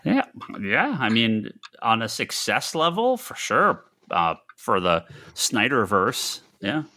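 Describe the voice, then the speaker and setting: A man's voice: mid-range voice, big pitch swings, takes it slowly, pushed and bright. A man chatting casually as a podcast co-host. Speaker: podcast co-host, comic shop retailer. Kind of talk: casual panel chat.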